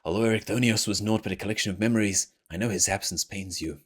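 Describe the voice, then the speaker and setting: A man voicing a solemn, learned fantasy game scholar in a formal, measured, grave manner. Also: fairly low pitch, breathy edge. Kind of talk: reading fantasy game dialogue aloud. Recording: game music behind the voice.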